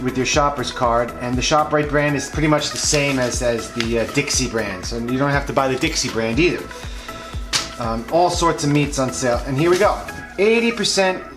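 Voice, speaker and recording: A man talks through grocery deals in a frank, offhand way, full of ums and restarts. His voice sits at a middle pitch. Clear background music plays under him throughout. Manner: frank, advice-giving, many ums and restarts. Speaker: vlog host reviewing grocery flyers. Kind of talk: casual off-the-cuff commentary. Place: indoors at a table, hands over flyers.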